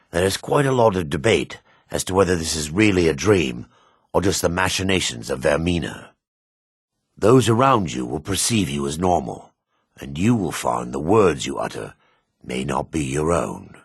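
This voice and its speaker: An actor playing a solemn, humble dark elf priest in a video game, speaking formally in measured, grave phrases with remorseful earnestness. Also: male voice, rumbling low, breathy edge, no echo, studio quality, full character voice. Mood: grave, explanatory. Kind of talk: video game character dialogue.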